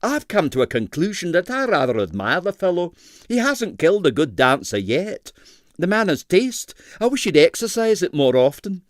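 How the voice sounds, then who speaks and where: A man's voice: high-pitched, very animated, moderate pace, no echo, studio quality, pitched above the performer's own voice. A man voicing a sour, disdainful doctor who loathes bad ballet, emphatic and blandly scathing, for an audiobook. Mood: dry relish. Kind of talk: audiobook character voice.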